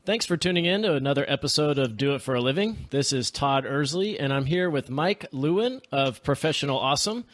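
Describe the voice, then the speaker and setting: A man's voice: a little high, expressive, fairly quick, hardly any pauses, a bit bright, dry recording. Chatty podcast host man, dry sound. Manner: chatty, laughs often, many fillers. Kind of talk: casual interview hosting.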